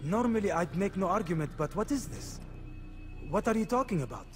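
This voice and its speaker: A man's voice: high voice, animated, a little fast. A man playing a candid, proud assassin comrade in a video game, speaking formally and pointedly with music behind him. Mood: earnest, forgiving. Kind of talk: dramatic video game dialogue.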